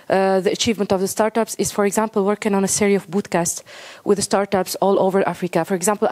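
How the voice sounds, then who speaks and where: Woman from Tunisia, mid pitch, hesitant and halting delivery. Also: darkish tone. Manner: hesitant, many fillers, searching for words. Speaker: NGO worker in the audience. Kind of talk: conference audience suggestion.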